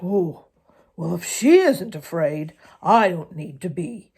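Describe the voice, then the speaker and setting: Woman voicing a crafty, slow-talking old male coyote. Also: on the low side, lively intonation, darkish tone, slightly rough, heavily acted. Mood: sly, reassured. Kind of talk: children's storybook character voice.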